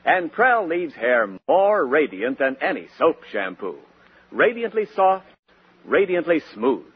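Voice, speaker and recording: Animated high-voiced American radio announcer man. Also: unhurried, husky. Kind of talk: 1940s radio announcing, scripted. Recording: dull old radio recording with music.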